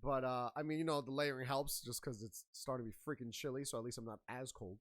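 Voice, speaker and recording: A man's voice: mid-range voice, fairly even intonation, fast talker. A man hosting a casual podcast chat in a hyped, slangy, playful way. He sounds a bit nasal and congested while getting over a sinus infection. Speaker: podcast host.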